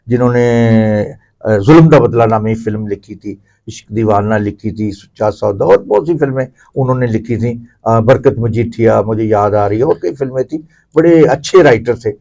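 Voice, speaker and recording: A man's voice: mid-range voice, creaky, mellow. A middle-aged or older man with a Pakistani accent, speaking as an interview guest in a discursive, explanatory way. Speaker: interview guest, theatre commentator. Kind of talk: reflective explanatory interview talk.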